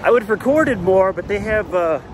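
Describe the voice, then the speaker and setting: A man's voice: high-pitched, animated, moderate pace, background noise. A middle-aged man filming a casual food review on a phone held at arm's length, chatty and enthusiastic, with many fillers and restarts. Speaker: food vlogger. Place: diner, then outdoors by a road, then home kitchen. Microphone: phone camera held at arm's length.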